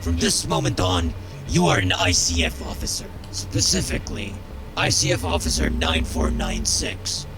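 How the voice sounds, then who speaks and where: Very deep, gravelly sergeant. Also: male voice, sing-song, normal speed, heavily acted. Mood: contemptuous. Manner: cold, contemptuous, authoritative. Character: stern, jaded male military sergeant drilling a recruit. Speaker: game character voice. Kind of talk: scripted game dialogue.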